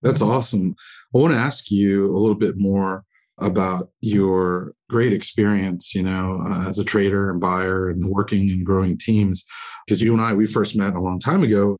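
A man's voice: sits low, fairly even intonation, normal speed, a little mellow. A man hosting a podcast, reading sponsor copy and asking questions in a friendly, enthusiastic way. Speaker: podcast host.

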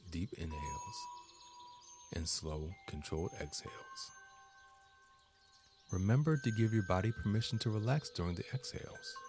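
A man narrating a scripted guided meditation as its host, deliberate and soothing, leaving long pauses between short phrases. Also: low voice.

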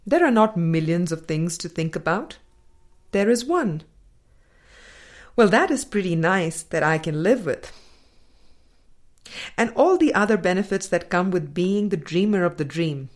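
A woman reading a spiritual self-help audiobook in a measured, deliberate way. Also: medium pitch, a little mellow. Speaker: audiobook reader.

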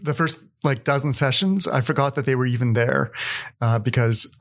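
A man interviewing a guest, asking questions and commenting in a relaxed, friendly way. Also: mid-range voice, moderate pace, gravelly.

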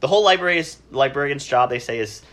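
Fast-talking man in casual conversation. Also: mid-range voice, expressive, a bit bright. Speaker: podcast guest, library worker.

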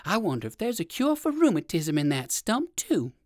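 A woman voicing a polite, curious old gentleman rabbit in a children's storybook, with courteous old-fashioned manners. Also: fairly low pitch, sing-song, normal speed, dry recording, studio quality. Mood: eager, curious. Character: polite, eager elderly gentleman rabbit with rheumatism.

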